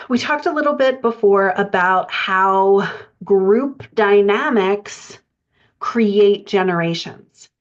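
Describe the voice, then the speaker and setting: A woman giving an informal, explanatory podcast lesson as a writing coach, talking in a conversational way. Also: medium pitch. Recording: dull lo-fi audio.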